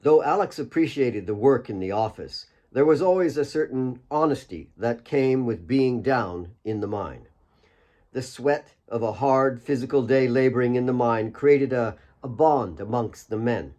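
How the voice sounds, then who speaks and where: A man's voice: medium pitch, lively intonation, normal speed, mellow. A man reading his own novel as an audiobook, in scripted dramatic narration.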